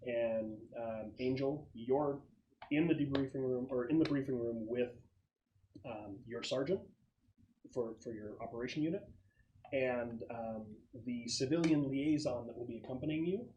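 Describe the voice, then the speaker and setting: A man acting as game master, explaining the setup casually in a halting, thoughtful way. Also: medium pitch, warm tone, highs cut off, a little hiss or hum. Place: casual online or home gaming session.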